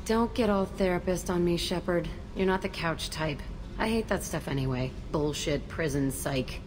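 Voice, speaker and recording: Game role, actress as hostile young female ex-con: low register, American, curt, guarded, ambient noise behind. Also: normal speed, lightly acted. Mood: guarded, defensive. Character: hardened, hostile, wounded young female ex-convict. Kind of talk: video game cutscene acting.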